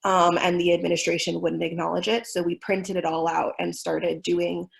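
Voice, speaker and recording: A woman's voice: lowish voice, little pitch movement, normal speed, gravelly. A young woman from Miami presenting a webinar in an earnest, thoughtful way with frequent fillers. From Miami, United States.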